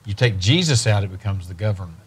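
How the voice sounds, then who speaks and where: An older man with a low-pitched voice speaks as a guest at a studio desk. He talks earnestly. He repeats his main phrases for weight. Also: expressive, quick pace, slightly rough. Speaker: ministry leader guest. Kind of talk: earnest interview talk. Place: TV studio set.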